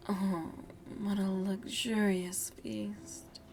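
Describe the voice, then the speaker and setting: A woman playing a seductive, aristocratic vampire lady in an ASMR roleplay, speaking intimately and formally with a breathy, often whispering voice and teasing, veiled menace. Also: mid-range voice, flat delivery, drawn-out words, darkish tone, a little hiss or hum.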